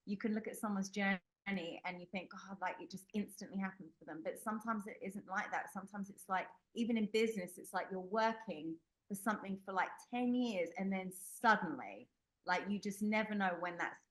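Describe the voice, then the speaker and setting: A woman's voice: mid-range voice, fairly quick, poor audio. A young adult woman from the United Kingdom, a guest telling a personal story in an interview, candid and rambling with many fillers and restarts. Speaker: podcast guest.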